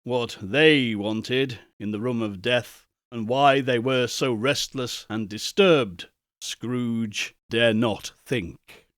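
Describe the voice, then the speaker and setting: A man reads a gothic Victorian tale at a slow, measured pace. His phrasing is solemn and theatrical, and his medium-pitched voice sits in a dry, close recording. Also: fairly bright tone, no room sound. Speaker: audiobook narrator, reader. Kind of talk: dramatic scripted narration of a Victorian ghost story.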